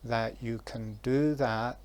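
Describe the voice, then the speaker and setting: A man giving guided relaxation instructions in a soft, calm voice, with long pauses between phrases. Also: mid-range voice, clean tone.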